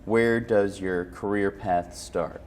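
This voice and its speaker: A man's voice: low-pitched, fairly even intonation, slow, darkish tone. A man interviewing a guest conversationally as host, encouraging and interested as he asks his questions.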